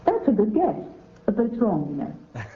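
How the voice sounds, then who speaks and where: A woman's voice: medium pitch, wildly swooping pitch, moderate pace. A woman voicing a haughty, insulting cartoon dominatrix who hosts a mock quiz with condescending taunts. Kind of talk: mock game show hosting. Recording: muffled phone-like audio with music.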